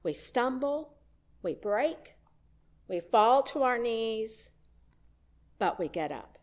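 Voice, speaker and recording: A woman minister giving a reflective sermon in a church, speaking in a slow, measured way. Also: on the high side, very animated, a little mellow. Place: church sanctuary.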